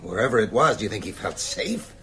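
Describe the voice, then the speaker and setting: A bedridden strategist in a radio horror drama, a man who commands and reasons with oratorical force. His pitch moves widely as he presses each point. A little background noise sits behind him. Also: animated, a little fast, pushed and bright. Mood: rousing, insistent. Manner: emphatic, rhetorical, oratorical delivery. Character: bedridden male vampire hunter, shrewd, commanding, urgent strategist.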